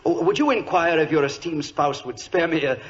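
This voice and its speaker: An actor playing a high-strung, well-bred young government clerk in a 1940s radio drama, polite, excitable and wordy. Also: male voice, brisk, close to an everyday voice. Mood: brisk, polite. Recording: muffled, noisy vintage radio recording.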